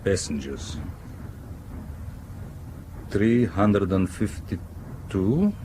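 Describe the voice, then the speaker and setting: Formal, guarded foreign sea captain. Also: male voice, sits low, sing-song, warm tone, a little hiss or hum, exaggerated voice, poor audio. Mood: measured, probing. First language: not English. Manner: formal, precise, measured courtesy. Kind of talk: radio drama acting.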